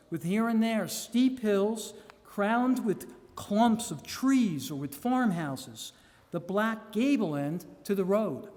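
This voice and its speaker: A man reads a gothic journal aloud in a theatrical, measured way with dramatic pauses. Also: sits high, a little mellow. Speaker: reader. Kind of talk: dramatic live reading of a gothic novel journal. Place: live public reading in a reverberant room. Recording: echoey hall with long reverb.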